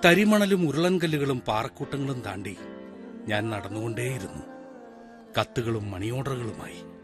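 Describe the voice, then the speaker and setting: Male actor as a middle-aged village postman, animated delivery, reflective radio monologue. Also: mid-range voice, moderate pace, music in the background, lightly acted. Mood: reminiscing. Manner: talks straight to the listeners, musing and lamenting. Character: retired village postman, wistful, chatty, brooding. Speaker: actor in a one-man radio play. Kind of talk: 1990s-style radio monologue acting.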